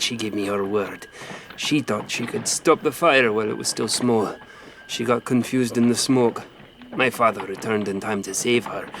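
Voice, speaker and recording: A man's voice: mid-range voice, a bit bright, slight background noise, natural voice. An actor playing a grim man who recounts his violent boyhood in a solemn, bitter manner for an audio drama. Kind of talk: first-person dramatic storytelling in an audio drama.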